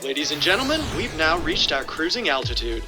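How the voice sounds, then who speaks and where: A woman's voice: deep voice, very animated, brisk, voice pushed down. A woman playing an airline pilot making a formal cabin announcement over music. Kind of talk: mock in-flight announcement.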